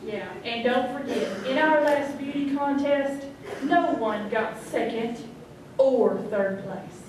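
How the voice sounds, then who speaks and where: A wry small-town townswoman, played by a woman reciting a comic testimonial with a dry, teasing air. Her voice sits a little high, and the live recording is very echoey with clear background noise. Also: slow pace, a little mellow. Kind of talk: scripted live radio sketch comedy. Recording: echoey hall, distant, noisy live stage.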